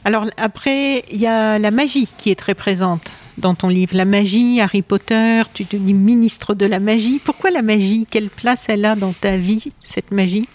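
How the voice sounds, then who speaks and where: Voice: medium pitch, normal speed, hardly any pauses. A radio interviewer who guides the conversation, reads passages from the guest's book aloud and spells out words.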